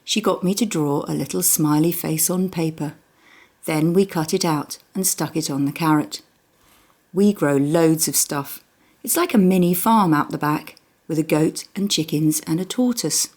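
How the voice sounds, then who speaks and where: A woman reading a children's book aloud in an expressive storytelling manner, with dramatic pauses. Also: sits low. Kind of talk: expressive children's audiobook narration.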